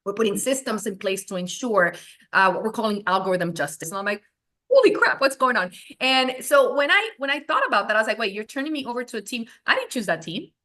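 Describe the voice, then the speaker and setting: A woman from Venezuela in her forties, a tech founder who gives lively interview answers and acts out her own past thoughts. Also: fairly high pitch, quick pace.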